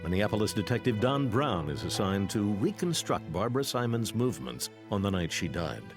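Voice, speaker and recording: A man's voice: fairly low pitch, big pitch swings, fairly quick, music in the background. A man with an American accent reading a scripted true-crime documentary narration.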